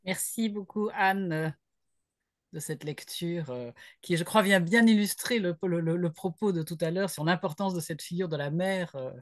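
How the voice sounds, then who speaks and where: A woman moderating an online literary conversation in a measured, formal way, with hesitant phrasing. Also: lowish voice, dry recording, studio quality. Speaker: interviewer, academic moderator. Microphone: online video call.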